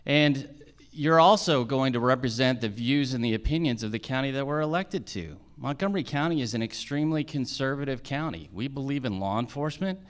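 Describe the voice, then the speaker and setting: American guy campaigning for judge, smooth clear voice, firm, repeats phrases for effect. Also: medium pitch, animated, fairly quick. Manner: firm, rhetorical repetition. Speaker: judicial candidate. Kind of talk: political stump speech.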